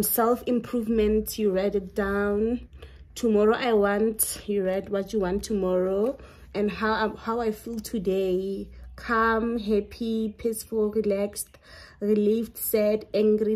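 A woman's voice: medium pitch, darkish tone, low-quality recording. Chatty South African woman vlogging. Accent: South African, Setswana. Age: young adult. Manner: chatty, enthusiastic, code-switching. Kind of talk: casual haul vlog, mixing English and Setswana. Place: bedroom at home, indoors.

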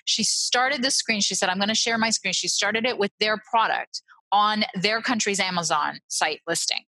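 Woman, 40s, interview guest. Blunt, animated, bright and punchy, dry close headset sound. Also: mid-range voice, moderate pace, no room sound, rough recording. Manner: blunt, animated, self-interrupting, laughs often. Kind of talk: casual interview over video call. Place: small room, indoors. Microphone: headset mic.

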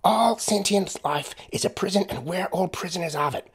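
Man putting on a high, cheerful American woman evangelist. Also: normal speed, slightly rough. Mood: earnest, calm. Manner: amiable, earnest, insistent. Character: cheerful, unflappable short stout American woman, zealous doorstep evangelist. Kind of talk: audiobook character voice.

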